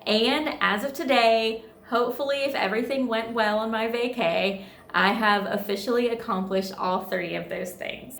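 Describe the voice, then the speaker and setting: A woman's voice: mid-range voice, a little hiss or hum. Bubbly thirty-year-old woman vlogger. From United States. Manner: bubbly, chatty and upbeat. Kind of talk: casual vlog chat. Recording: echoey room with faint music.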